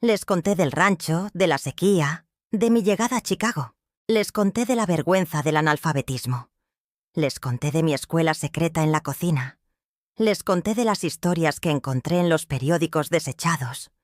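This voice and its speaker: An older woman with a Mexican accent narrating her own life story in a slow, heartfelt, reflective way. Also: low-pitched, expressive, no room sound, clean studio sound. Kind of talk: first-person storytelling narration.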